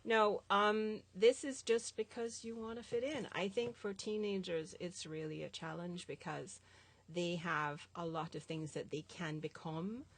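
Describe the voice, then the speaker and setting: A woman art teacher with a Jamaican American accent, chatting as a guest in an animated way with lots of filler ums. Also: fairly low pitch, a little slow, hardly any pauses. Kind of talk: casual conversation.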